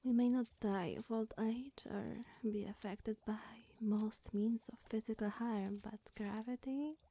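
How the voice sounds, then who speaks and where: A woman voicing a sweet, bashful, scatterbrained young witch in a soft, partly whispered ASMR roleplay, coy and rambling with musing hums. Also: a little high, fairly even intonation, measured pace. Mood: sheepish, confessing. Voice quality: soft, breathy.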